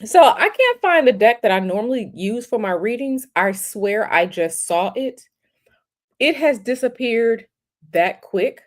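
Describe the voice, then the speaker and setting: A woman hosting a tarot livestream, talking casually in a halting, repetitive way with many fillers, sniffly from a cold. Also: on the high side, animated.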